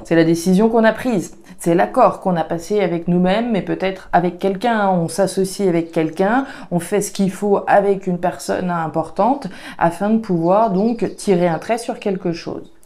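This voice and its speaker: A woman's voice: mid-range voice, normal speed, dark tone. A woman with a regional French accent doing a casual card reading for viewers, thinking aloud with frequent fillers and restarts. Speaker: tarot and oracle card reader.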